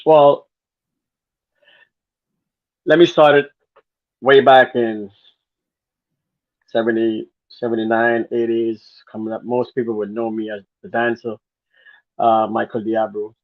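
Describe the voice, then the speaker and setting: A man's voice: mid-range voice, fairly even intonation, slow, pauses often, dry recording. A man from Guyana with a Guyanese accent, a guest telling his story in an earnest, rambling way. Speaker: guest, former dancer. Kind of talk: casual interview talk. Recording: phone-like narrow sound.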